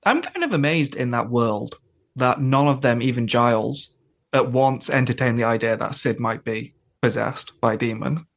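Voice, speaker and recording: Guy just chatting on a podcast, normal pitch, kinda wry about it. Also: lively intonation, normal speed, creaky. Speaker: podcast co-host. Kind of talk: casual chat about a TV show.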